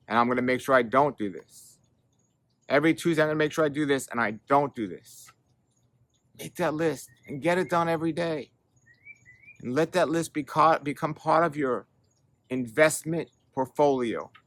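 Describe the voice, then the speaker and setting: A man's voice: fairly high pitch, a bit bright, no echo. A middle-aged man hosting a health show, giving an earnest motivational talk that urges and repeats for emphasis. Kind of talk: motivational monologue to camera.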